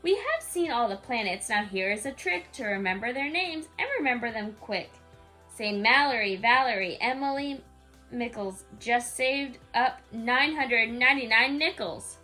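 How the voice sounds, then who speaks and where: A woman with a fairly high voice reads a rhyming picture book at bedtime. Her delivery is sing-song and aimed at a small child, slipping into casual, expressive asides. Soft music plays behind her. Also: normal speed. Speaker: parent reading a picture book aloud to a young child. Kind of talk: bedtime story read-aloud with casual asides.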